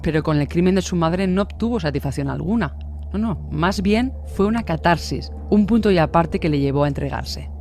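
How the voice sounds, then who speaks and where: A man's voice: fairly high pitch, lively intonation, fairly quick, darkish tone, a little hiss or hum. A man delivering a dramatic, scripted true-crime narration. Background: music.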